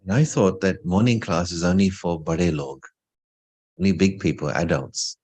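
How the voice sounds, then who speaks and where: Very deep-voiced man, informally reminiscing. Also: moderate pace, darkish tone, slightly rough. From England. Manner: reflective, anecdotal, lightly humorous. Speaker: spiritual speaker. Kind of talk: informal talk sharing personal story.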